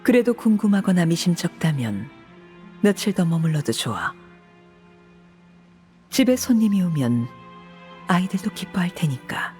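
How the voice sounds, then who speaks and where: A woman playing a commanding, coolly composed orphanage head in a video game, speaking formally and with measure in a breathy voice. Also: on the low side, warm tone. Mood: composed, gracious. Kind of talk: Korean video game voice acting.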